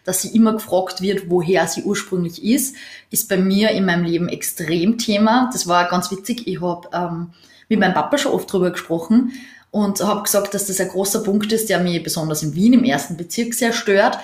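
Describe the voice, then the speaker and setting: A woman's voice: lowish voice, normal speed, a little mellow. Earnest woman in an echoey room. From Austria. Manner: earnest, long winding explanations. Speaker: podcast co-host. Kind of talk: casual conversation.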